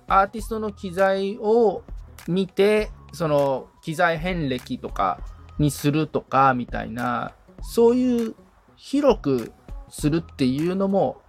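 A man in a casual consultation as the podcast assistant, listening attentively, agreeing often and thinking aloud as he asks questions. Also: on the high side.

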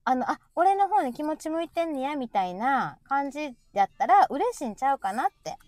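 A middle-aged woman with a Kansai accent, chatting casually and telling everyday stories in an animated, talkative way as a stream host. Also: high voice, a little fast, dry recording. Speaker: live audio stream host. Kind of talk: casual chat.

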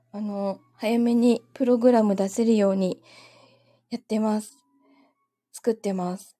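Giggly woman with a soft husky voice. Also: medium pitch. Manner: chatty, giggly, thanks viewers often. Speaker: livestream host. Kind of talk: casual chat with live viewers. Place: home.

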